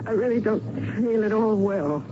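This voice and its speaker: A woman's voice: mid-range voice, moderate pace, lightly acted. An actress playing an anxious, shaken married woman in an old-time radio mystery drama. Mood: upset, faint. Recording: muffled, noisy old radio recording.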